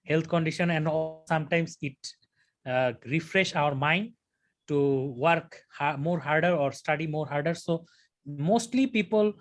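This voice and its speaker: Brief: young Bangladeshi man, exam candidate, halting, fairly high pitch. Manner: hesitant, many fillers and restarts. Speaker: test candidate. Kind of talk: mock speaking exam answers.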